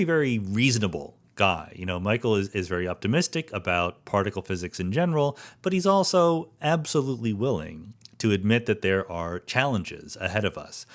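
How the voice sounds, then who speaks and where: A middle-aged man hosting a podcast interview, speaking in an articulate, measured way with lively questions. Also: lowish voice. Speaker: podcast host.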